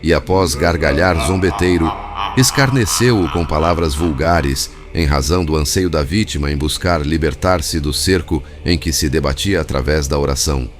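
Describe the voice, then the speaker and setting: Deep-voiced male audiobook narrator. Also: normal speed. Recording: music bed behind narration.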